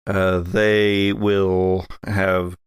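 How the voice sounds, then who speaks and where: A man's voice: low voice, little pitch movement, drawn-out words, clear, resonant tone, dry recording. A middle-aged American man running a tabletop roleplaying game as game master.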